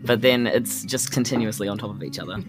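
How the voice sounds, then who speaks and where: A man's voice: on the low side, fast talker. Casual New man over music. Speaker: tabletop game player. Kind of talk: casual game banter.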